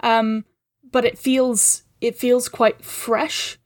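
A woman gives casual film analysis as a podcast guest. Her voice is a little higher than average and sounds dry, with no room echo. She speaks thoughtfully and haltingly, feeling for the right words. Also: level tone.

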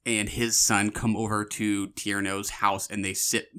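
A man co-hosting a film podcast, talking analytically but casually, with tentative pauses as he picks his words. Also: fairly low pitch, level tone, a bit bright, smooth.

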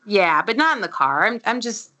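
A woman's voice: mid-range voice, sing-song, moderate pace, sharp tone. A woman whose first language is Spanish, chatting casually as a guest, laughing often and filling her talk with "like". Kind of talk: casual conversation.

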